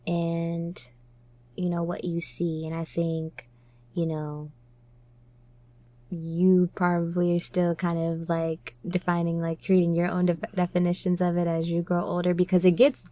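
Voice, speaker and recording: A woman's voice: on the low side, monotone, measured pace, warm tone, no room sound. A woman hosting a podcast, talking in a casual, reflective way. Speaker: podcast host.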